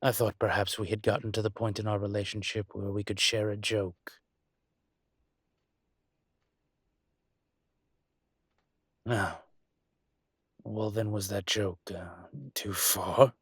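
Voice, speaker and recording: A man playing a suave, teasing dragon mafia boss in a scripted romantic audio roleplay, speaking deliberately. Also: on the low side, flat delivery, slightly rough, no echo, clean studio sound.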